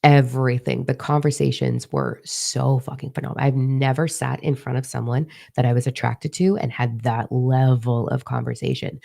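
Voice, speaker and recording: A young woman with a hoarse, partly lost voice, giving a casual spiritual talk and confiding freely with plenty of swearing. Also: very deep voice, flat delivery, moderate pace, few pauses, dark tone. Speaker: spiritual teacher.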